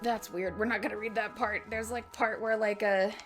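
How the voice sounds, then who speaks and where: A woman's voice: mid-range voice, steady tone, normal speed, a bit bright, faint music behind. A woman giving a dramatic reading of her own fan fiction, with wry, self-mocking asides. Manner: theatrical, self-mocking commentary. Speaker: reader and host.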